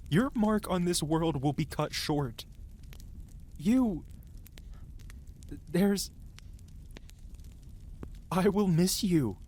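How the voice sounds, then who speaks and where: A man's voice: on the high side, darkish tone, heavily acted. A man voicing an ancient male dragon, proud and scolding yet devoted, with solemn, dramatic phrasing in a fantasy ASMR roleplay. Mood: flustered, grieving. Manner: solemn, archaic, dramatic phrasing with flustered outbursts.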